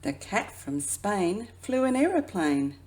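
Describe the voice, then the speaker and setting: A woman who works as a children's educator reads a picture book aloud expressively, drawing out phrases and dropping into hushed asides. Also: medium pitch, sing-song, takes it slowly. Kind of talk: children's storybook read-aloud.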